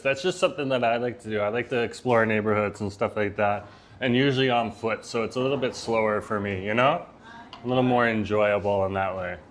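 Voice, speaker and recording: A man casually chatting on a live stream from a Vietnamese restaurant, talking easily, reading chat messages aloud and laughing often. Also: slight background noise. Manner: says he has a loud voice and lowers it. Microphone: phone.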